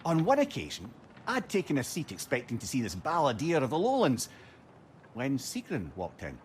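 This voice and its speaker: An old Scottish advisor from a video game, wistful and wry. His middle-pitched voice swings expressively as he spins lyrical recollections. Faint ambient noise sits behind it. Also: wildly swooping pitch, normal speed. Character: witty, wistful old male advisor reminiscing about love. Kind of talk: storytelling video game dialogue.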